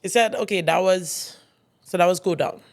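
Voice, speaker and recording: Higher-voiced gravelly male host. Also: moderate pace. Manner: encouraging, lots of affirming backchannels. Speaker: interview host. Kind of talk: casual interview chat.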